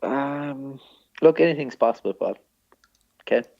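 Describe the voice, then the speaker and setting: A man co-hosting a comedy podcast, bantering casually in a halting, mock-serious accountant's manner. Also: mid-range voice, gravelly. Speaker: podcast co-host. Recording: slightly dull, muffled recording.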